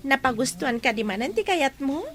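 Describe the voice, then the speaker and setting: A woman's voice: sits high, sing-song, fairly quick. A woman playing a breezy, teasing female friend in an Ilocano radio drama. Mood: teasing, curious.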